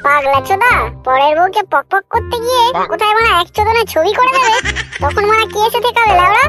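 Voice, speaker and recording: A man voicing a cocky, foul-mouthed young womanizer in a comic cartoon, with a pitched-up voice and a brash, bossy manner. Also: expressive, quick pace. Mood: scolding. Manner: brash, bossy, swearing constantly. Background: loud jingly children's music.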